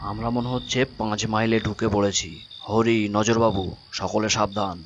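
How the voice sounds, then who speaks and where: A man's voice: lowish voice, level tone, normal speed, dull audio, a little hiss or hum, close to an everyday voice. A man playing a resolute, secretive leader of ghost hunters in a horror cartoon, speaking with grave authority. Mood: tense, cautioning. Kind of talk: animated horror story dialogue.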